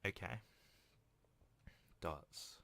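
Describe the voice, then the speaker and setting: A man's voice: extremely slow, sharp tone, no echo. A man hosting a video game playthrough, reading a visual novel aloud with casual, self-deprecating asides. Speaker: let's play host.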